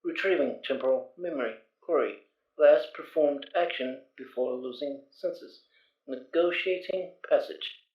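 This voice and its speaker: A man's voice: medium pitch, a little slow, natural voice. A man voicing a clipped robotic suit computer. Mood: monotone. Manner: clipped system-status announcements. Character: automated armor suit computer voice, flat and procedural. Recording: roomy, distant, slightly dull audio.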